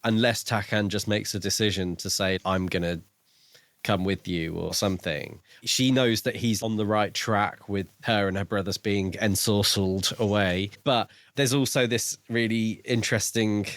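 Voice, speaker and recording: A man co-hosting a podcast, discussing a book casually in a measured, musing way. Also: fairly low pitch, fairly even intonation, fairly bright tone, no echo.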